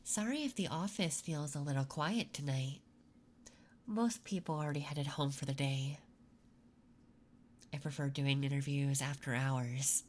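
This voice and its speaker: A woman playing a curious, attentive interviewer in an unhurried, intimate, soft-spoken ASMR roleplay. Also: sits low, slight background noise. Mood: softly intrigued. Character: curious, attentive female interviewer in a late-night office. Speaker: ASMR performer.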